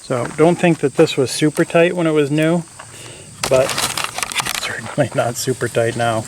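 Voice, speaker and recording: A man's voice: on the high side, moderate pace, background noise. A man giving casual hands-on commentary as host of a workshop build video, plain-spoken and thinking aloud.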